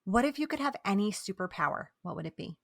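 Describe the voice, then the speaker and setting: A woman's voice: medium pitch, lively intonation, fast talker, vocal fry, dry recording, clean studio sound. A woman hosting an interview podcast, encouraging and affirming toward her guest and her listeners. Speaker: podcast host.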